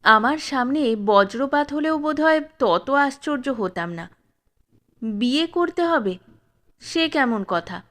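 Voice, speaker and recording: A woman narrating a classic story in a measured, expressive reading. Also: high voice.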